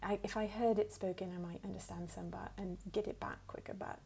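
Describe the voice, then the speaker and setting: A woman from the United States with a put-on English accent, speaking softly and at times whispering as she unhurriedly answers questions for an ASMR video. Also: mid-range voice, fairly even intonation, brisk, creaky, husky. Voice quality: soft, partly whispered.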